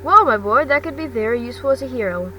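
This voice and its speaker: A woman's voice: a little high, expressive, fast talker, sharp tone. A woman voicing a big, kindly older male hero mentor in a fan fiction reading, hearty and reassuring. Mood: concerned, reassuring. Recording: distant mic, clearly noisy background.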